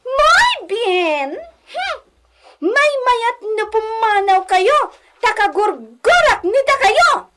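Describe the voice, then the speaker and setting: A woman's voice: very high voice, sing-song, slow, pushed and bright, slight background noise, exaggerated voice. A woman voicing a spoiled, haughty young rich daughter in a radio comedy drama, whiny and demanding.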